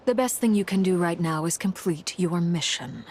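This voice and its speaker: A woman playing a grave, dutiful military officer in a video game, speaking in a stern, measured, formal way. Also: lowish voice, a little mellow, slight background noise, lightly acted. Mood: grave, resolute. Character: grave, dutiful female Fatui officer giving orders and warnings. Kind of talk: scripted video game voice acting.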